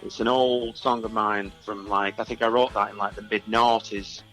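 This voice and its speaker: A man's voice: on the low side, little pitch movement, moderate pace, bright, forceful tone, faint music behind, poor audio. A man in his forties chatting casually as a musician guest in an interview. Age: 40s. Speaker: musician guest, singer-songwriter.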